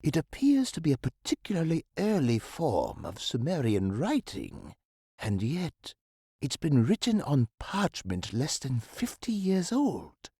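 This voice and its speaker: An actor playing a busy, modest academic professor, formal and articulate, somewhat fussy and evasive, in a video game. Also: male voice, a little high, wildly swooping pitch, mellow, slightly rough, no room sound, studio quality, full character voice. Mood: intrigued. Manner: formal, articulate, slightly hurried. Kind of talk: scripted point-and-click game dialogue.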